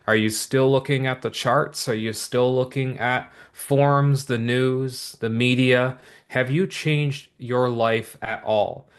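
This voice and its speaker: A man presenting a business opportunity in an upbeat, motivational pitch to livestream viewers, talking with lots of repetition and quick asides. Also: clear, resonant tone. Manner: energetic, repetitive motivational patter with many asides.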